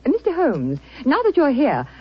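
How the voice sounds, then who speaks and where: A woman's voice: high-pitched, wildly swooping pitch, moderate pace. An actress playing a cool, guarded, politely arch young woman in a 1940s radio drama. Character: cool, poised young niece, sporty and guarded. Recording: dull, noisy 1940s radio recording.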